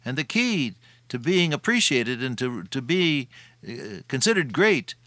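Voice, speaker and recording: A man's voice: medium pitch, very animated, unhurried, sharp tone, dry recording. An older man preaching a sermon in a folksy, homespun way. He tells everyday anecdotes and chuckles at his own stories.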